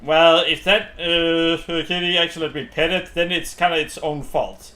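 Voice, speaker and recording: A man doing casual let's-play commentary as a gaming streamer, rambling and thinking out loud. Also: a little high, steady tone, normal speed. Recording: game music behind the voice. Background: video game music.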